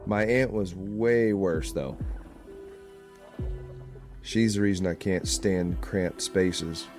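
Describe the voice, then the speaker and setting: A fairly low male voice from an actor as a claustrophobic, haunted small-town deputy in an audio drama. He talks plainly and grumbles, with a gruff, uneasy temper. Faint music plays underneath. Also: slow pace, a little mellow. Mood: grim, confiding.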